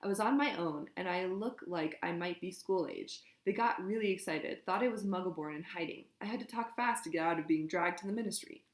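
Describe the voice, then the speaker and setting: A woman reading a storybook, voicing a defensive teenage boy who shouts back and talks fast. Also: on the low side, roomy sound, lightly acted.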